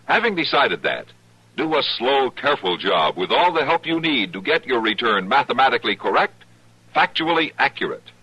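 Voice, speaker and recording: Male announcer, American accent, husky, lively intonation, emphatic, scripted 1970s radio notice. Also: mid-range voice, normal speed, low-quality recording. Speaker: public service announcer.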